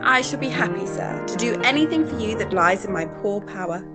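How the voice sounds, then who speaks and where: An actress playing a gentle, sensitive young Victorian heiress in radio melodrama, formal and earnest. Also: female voice, medium pitch, lively intonation, a little fast, loud music behind the voice, lightly acted. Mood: polite. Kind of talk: old-style radio theatre melodrama acting.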